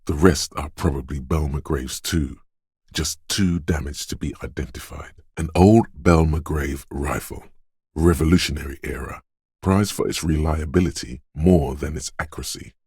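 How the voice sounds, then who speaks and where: An actor playing the coolly urging inner voice of a detective's reflexes in a video game, narrating to the listener in a deliberate, intimate, theatrical way. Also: male voice, a little mellow, dry recording, studio quality, exaggerated voice. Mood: matter-of-fact. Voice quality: very deep, smooth, resonant. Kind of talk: dramatic second-person game narration.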